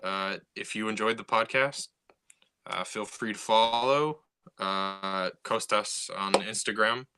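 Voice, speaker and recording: A man's voice: lowish voice, takes it slowly, background noise. A man co-hosting a casual podcast, explaining his ideas and building his points step by step. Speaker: podcast co-host and writer.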